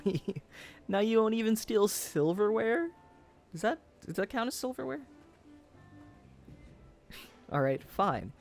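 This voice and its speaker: Man acting a nervous, smitten young guy, higher-pitched. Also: a little mellow, heavily acted. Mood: teasing, fond.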